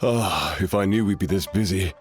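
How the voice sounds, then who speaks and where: A man's voice: deep voice, moderate pace, faint music behind, natural voice. An actor playing a hospitable male restaurant owner in a game, serving his guests. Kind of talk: game dialogue.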